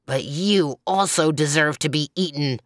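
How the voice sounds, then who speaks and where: A woman's voice: low-pitched, measured pace, no room sound, clean studio sound. A woman voicing a touchy, boastful male leopard with a snarling manner in a storybook tale. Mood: spiteful, goading. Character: vain, touchy male leopard, toothless but fierce.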